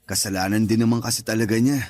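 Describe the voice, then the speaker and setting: A man with a Filipino accent playing a calm, firm, concerned older brother in a radio drama. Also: on the low side, fast talker, lightly acted. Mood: concerned, sympathetic. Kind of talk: Tagalog radio drama acting.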